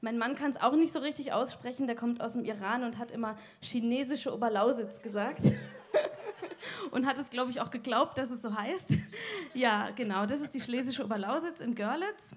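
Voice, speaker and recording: A woman with a slightly high voice, the kind of guest heard casually introducing herself on stage at a gathering. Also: normal speed. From southern Palatinate, Germany. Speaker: guest speaker.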